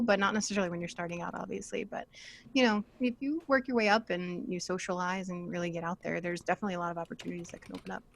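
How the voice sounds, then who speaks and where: A young woman chatting casually and informally on a panel, giving advice as a podcaster, often giggly. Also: mid-range voice.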